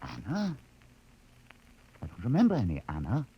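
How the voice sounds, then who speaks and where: A low male voice with wide swings of intonation, a haunted, brooding scientist who keeps his distance. He speaks haltingly and deliberately in vintage radio drama style. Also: wildly swooping pitch, slightly rough, highs cut off, exaggerated voice. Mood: puzzled, reluctant. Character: somber, haunted scientist doctor, emotionally detached survivor. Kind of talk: 1950s radio drama acting. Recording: vintage 1950 radio recording, organ-like music behind.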